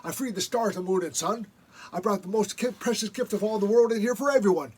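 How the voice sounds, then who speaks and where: A man voicing Raven, a hungry, boastful trickster spirit, speaking slow, low and demanding. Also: expressive, a little mellow, husky.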